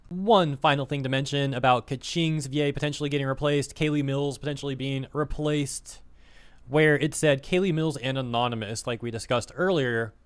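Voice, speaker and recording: Scornful man, slightly hoarse. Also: mid-range voice. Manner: scornful, filler-heavy, talks fast in bursts. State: slightly hoarse, losing his voice. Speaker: vtuber commentator. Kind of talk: casual commentary rant.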